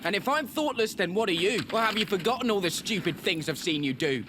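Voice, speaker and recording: A British man playing a brash, cheeky young hero in a video game with animated, informal delivery. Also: high-pitched, brisk, background noise. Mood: taunting. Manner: cocky, irreverent, informal.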